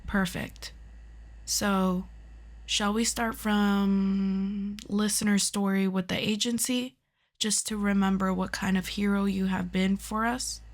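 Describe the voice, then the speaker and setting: Woman acting a measured, stern council official. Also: mid-range voice, little pitch movement. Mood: formal, polite. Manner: formal, measured, slightly condescending. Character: composed, formal, politely stern superhero agency council official. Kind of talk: ASMR roleplay acting.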